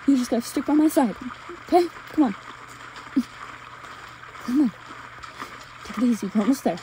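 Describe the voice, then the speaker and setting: Woman acting desperate, high-voiced young heroine, mellow tone. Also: lightly acted. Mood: soothing, urgent. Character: desperate, tender young woman fleeing townsmen with her lover. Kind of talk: amateur audio drama acting, old-fashioned romance. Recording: noisy ambience sound effects behind voice. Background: forest, stream and dungeon ambience effects.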